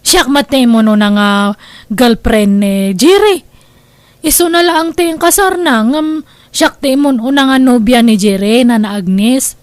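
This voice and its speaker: A woman's voice: on the high side, a little slow, dry recording, natural voice. A woman with a Filipino accent playing a stubborn, aggrieved young jilted sweetheart in a comedy drama, plaintive and insistent.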